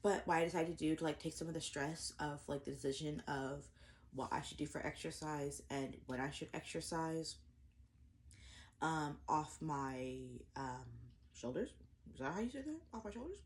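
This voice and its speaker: Young woman, low voice, eat-with-me vlogger; chatty, rambling, self-deprecating. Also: moderate pace. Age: 20s. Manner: rambling, self-deprecating, many fillers and restarts. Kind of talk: casual eat-with-me chat to camera. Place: indoors at home, eating breakfast.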